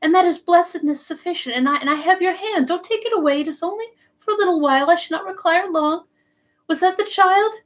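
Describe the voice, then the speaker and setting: A dying man in delirium, acted by a woman audiobook reader, lifted well up in pitch. He is tender and yearning, pleading fervently in broken little bursts. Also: fast. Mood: delirious, tender.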